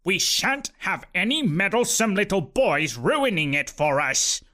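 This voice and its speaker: A man voicing a stern, pompous, greedy rich uncle who speaks slowly and grandly in a storybook reading. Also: sits high, very animated, bright, forceful tone, voice pushed up, full character voice. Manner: pompous, slow, decreeing.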